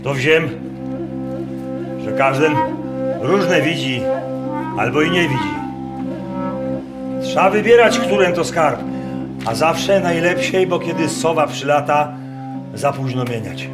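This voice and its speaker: An actor in a radio play voicing a humble, pious prisoner of about eighty, with a Masurian rural dialect and a folksy storytelling way. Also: male voice, on the high side, lively intonation, slow, pauses often. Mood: grave, storytelling. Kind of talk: radio drama acting. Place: wooden barn, live performance. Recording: loud guitar music behind voice. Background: loud guitar music.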